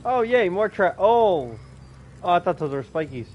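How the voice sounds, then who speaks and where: A woman's voice: lowish voice, sing-song, moderate pace. A woman giving casual, sweary reactions while playing a video game. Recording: muffled, faint background noise.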